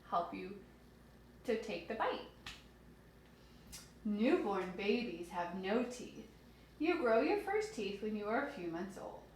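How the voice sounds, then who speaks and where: A woman teacher reads aloud to young children in an expressive way. She explains simply and asks questions as she goes. Her voice sits at a middle pitch, recorded in a strongly echoing room with faint noise. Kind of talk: children's read-aloud lesson with asides.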